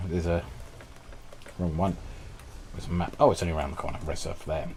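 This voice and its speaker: A man with a fairly low voice gives casual, enthusiastic commentary while he plays. His voice is slightly hoarse, as if he is losing it. Faint game ambience sits underneath. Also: flat delivery, brisk, a little mellow, slight background noise. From Britain. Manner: chatty and enthusiastic, thinks aloud. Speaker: streamer.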